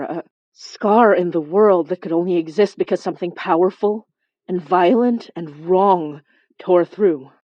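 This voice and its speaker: Woman acting as compassionate ghost investigator, lowish female voice, dry close sound, introspective, wry horror podcast narration. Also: no room sound, muffled recording. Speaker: narrator and lead character. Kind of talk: first-person horror audio drama narration.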